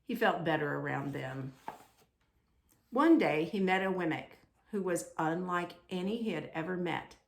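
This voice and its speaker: Woman, lower-pitched voice, creaky edges, expressive children's read-aloud, deliberate emphasis.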